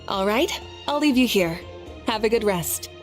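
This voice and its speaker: An actress playing a self-assured goddess ruler who speaks with relaxed, teasing humor, in a video game. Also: female voice, on the high side, slow pace, soft background music. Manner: relaxed, amused, gently teasing. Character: confident female fire goddess ruler, warm-humored.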